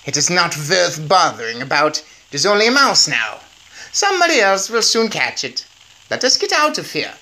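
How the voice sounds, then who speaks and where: An evil, imperious witch queen from a children's storybook, acted out by a man. Her voice is pushed high and bright, yelling out gloating commands with long drawn words. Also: very animated, moderate pace, exaggerated voice. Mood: scornful, commanding.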